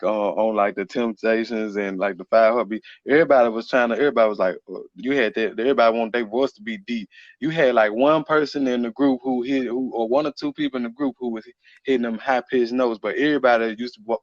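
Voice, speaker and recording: A man's voice: medium pitch, dry recording, lo-fi sound. A man in casual podcast conversation, speaking informally with slang and often repeating his phrases.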